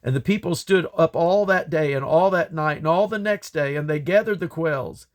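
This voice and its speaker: A man reading scripture aloud in a solemn, deliberate way, breaking the text into measured phrases. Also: fairly high pitch. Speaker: Bible reader. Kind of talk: scripture reading, King James English.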